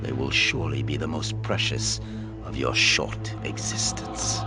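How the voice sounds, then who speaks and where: A super deep, formal vampire count, slow and ominous, loud music under it. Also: male voice, steady tone. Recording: loud game music behind.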